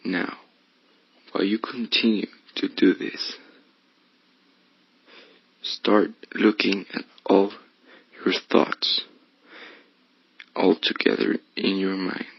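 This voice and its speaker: A man leading a guided meditation in a hushed, breathy voice that often slips toward a whisper. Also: low voice, little pitch movement, slow, long pauses, dry recording, dull audio. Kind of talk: guided meditation, soothing instruction.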